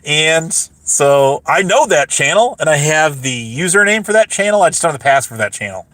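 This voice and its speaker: A hoarse man rambling casually on a livestream, boastful, repeating himself and laughing mid-sentence. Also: fairly high pitch, lively intonation, moderate pace, fairly bright tone, no room sound.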